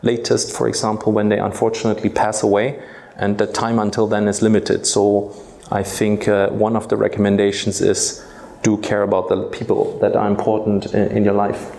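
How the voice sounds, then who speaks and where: A man in his forties, born and raised in Germany with German as his first language, speaks to students from a school stage. His voice sits low and gravelly, and he often stops on filler sounds. The room around him rings with echo. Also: monotone, moderate pace, darkish tone. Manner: hesitant, many filler sounds. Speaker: guest speaker. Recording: echoey hall.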